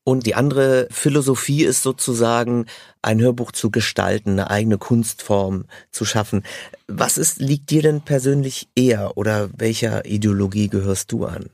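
A man with a mid-pitched voice works as interviewer. He speaks conversationally and in a measured, discursive manner. The sound is close and dry. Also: creaky, a little mellow, no room sound.

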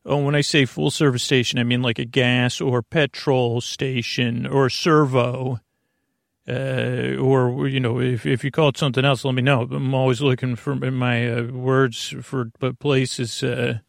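A man from the United States, hosting a sleep podcast with a meandering monologue full of filler words and tangents, in a creaky voice. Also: medium pitch, flat delivery, measured pace, dry recording, clean studio sound. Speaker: podcast host.

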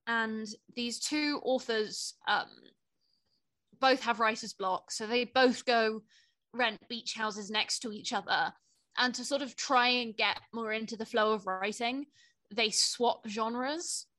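A woman's voice: on the high side, takes it slowly, pushed and bright. A young woman co-hosting a casual book podcast, speaking with enthusiasm and some hesitant phrasing. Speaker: podcast co-host.

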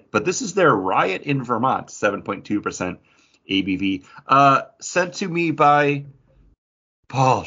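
A man's voice: medium pitch, fairly bright tone, muffled recording. A man co-hosting a podcast in casual, jokey conversation with friends. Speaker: podcast co-host and editor. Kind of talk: casual chat about beer and the show.

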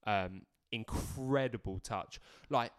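A man's voice: on the low side, slow, smooth, dry recording, studio quality. A man co-hosting a casual football podcast, talking in a chatty, rambling way. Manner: chatty, rambling, lots of fillers and restarts. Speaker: podcast co-host.

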